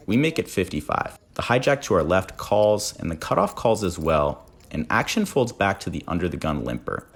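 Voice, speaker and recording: A man with a very low voice delivers scripted vlog narration. His intonation moves up and down a lot, giving the commentary an animated feel, with a wry, self-deprecating touch. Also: husky. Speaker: poker vlogger narrating hands.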